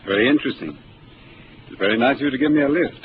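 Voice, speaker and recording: An actor playing a polite, curious civilian passenger who asks many courteous questions, in a 1950s radio drama. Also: male voice, medium pitch, fast talker, lightly acted. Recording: hissy narrow 1950s radio broadcast.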